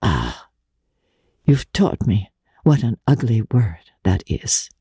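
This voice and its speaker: A woman playing an earnest, slow-spoken genteel lady. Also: a bass voice, big pitch swings, husky, dry recording, clean studio sound, exaggerated voice. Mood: sad. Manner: hushed, deliberate, fervent. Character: earnest, sorrowful, self-denying genteel lady of old New York. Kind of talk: dramatic audiobook character reading.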